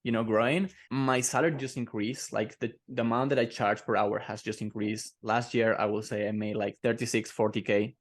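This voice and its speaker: A man from Peru, a web designer, talking rapidly with stumbling repeats. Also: lowish voice, fairly even intonation.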